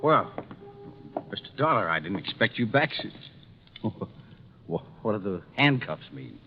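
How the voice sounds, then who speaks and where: A man's voice: lowish voice, big pitch swings, a little slow. An actor playing a scheming, indignant businessman villain in a radio drama, blustering as he talks. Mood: smooth, uneasy. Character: scheming, indignant male executive turned cornered killer. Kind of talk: 1950s radio drama acting. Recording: muffled, faintly noisy old radio recording.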